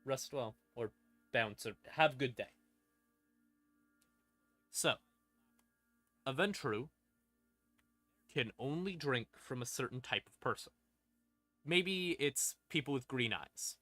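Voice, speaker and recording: A man with a bright, forceful voice talks like a casual streamer walking viewers through lore. He is informal and emphatic, with swearing mixed in. His pitch sits in an ordinary middle range, and ambient music plays behind him. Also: lively intonation, slow pace, long pauses, no room sound. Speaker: live streamer, host. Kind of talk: casual lore explainer on a livestream. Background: creepy ambient music.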